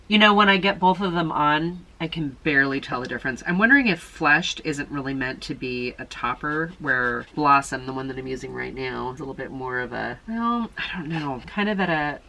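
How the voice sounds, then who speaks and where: A woman chatting casually through a makeup tutorial, thinking aloud with quick asides and a slightly nasal sound. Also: sits low, bright, forceful tone, slight background noise, poor audio. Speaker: beauty vlogger.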